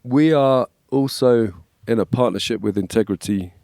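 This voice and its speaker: English man, forties, scratchy voice, mellow dark tone, dry close sound. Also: medium pitch, normal speed, dry recording. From England. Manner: relaxed, storytelling, frequent "yeah, man". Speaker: guest, worship label manager. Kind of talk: casual conversation.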